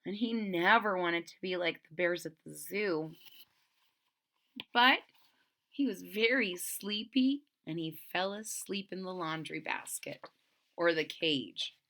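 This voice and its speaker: A woman reading a storybook aloud for children and retelling it simply, in a deliberate, explanatory way. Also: lowish voice, bright, forceful tone. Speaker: storybook reader.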